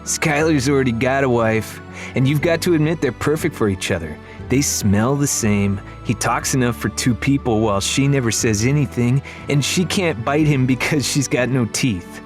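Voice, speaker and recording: A man's voice: medium pitch, moderate pace, natural voice. A man voicing a teasing, grumbling fifteen-year-old farm lad in an audiobook, mocking and bantering over music.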